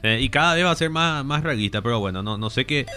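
A man's voice: mid-range voice, animated, fast talker, pushed and bright, clean tone, faint music behind. A man, hosting a casual radio chat and often murmuring along as others talk. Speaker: radio host.

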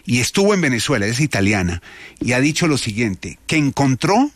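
A man's voice: mid-range voice, sing-song, fairly quick, dry recording. A man from Colombia hosting an afternoon radio talk show, chatting and reading news items aloud. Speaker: radio host.

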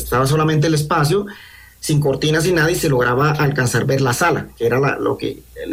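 Thirty-something guy from colombia's coast, coastal accent, husky voice a bit high, lots of restarts as he tells his spooky story. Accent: Colombian coastal Spanish. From Colombian Caribbean coast. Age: 30s. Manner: hesitant, many restarts and fillers. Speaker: caller. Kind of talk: telling a personal ghost story.

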